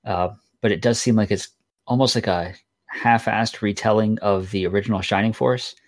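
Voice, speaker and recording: A man's voice: fairly low pitch, normal speed, no echo. A man co-hosting a gaming podcast, chatting casually and hesitantly with frequent fillers. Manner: relaxed, hesitant, lots of ums. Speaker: podcast co-host.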